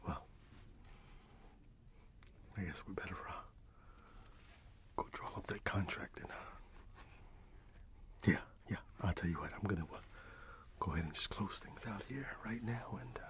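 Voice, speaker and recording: A middle-aged man playing a friendly, grateful candy shop owner in an ASMR roleplay, speaking in a breathy whisper with a gentle, halting manner. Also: sits low, pitched below the performer's own voice. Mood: content, friendly.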